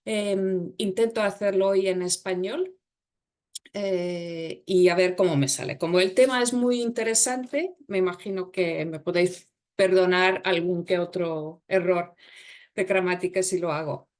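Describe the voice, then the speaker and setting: A woman's voice: mid-range voice, darkish tone. A woman from Estonia with an Estonian accent, not a native speaker, giving a webinar presentation in a careful, slightly hesitant and self-deprecating way.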